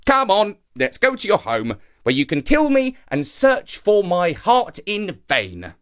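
A man voicing a clever, excitable monkey in an animal folktale, exclaiming theatrically with indignant, crafty airs. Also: high-pitched, unhurried, voice pushed up, heavily acted.

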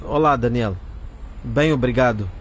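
A man's voice: mid-range voice, a little slow, natural voice. A man playing a grumbling teenage boarder in a radio drama.

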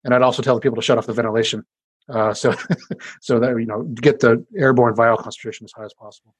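Fast-talking husky scientist, a man. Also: fairly even intonation, no echo. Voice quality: deep voice. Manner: casual and explanatory, many fillers and restarts. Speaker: scientist being interviewed. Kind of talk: explaining research in an interview.

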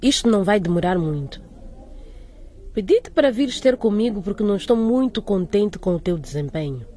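A woman playing a demanding school headmistress in a radio soap, formal, measured and admonishing. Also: medium pitch, animated, warm tone, natural voice. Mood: stern, disappointed. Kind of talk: scripted radio soap acting.

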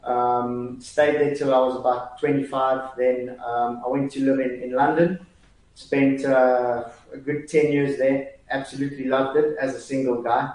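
A South African man in his forties talks as a guest on a chat show, hesitant and full of fillers and restarts. His voice sits at an ordinary pitch and rings out in a very echoey room. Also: little pitch movement, measured pace, darkish tone, distant mic. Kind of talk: casual chat.

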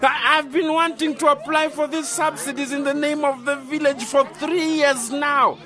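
An actor playing a smooth-talking, excitable former ministry man in a radio drama. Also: very high-pitched, normal speed. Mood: animated. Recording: music and party crowd behind.

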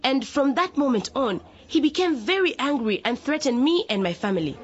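Earnest young councillor, higher-pitched. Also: female voice, expressive, normal speed, dull audio. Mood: earnest, firm. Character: earnest, principled young female councillor testifying. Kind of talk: radio drama acting.

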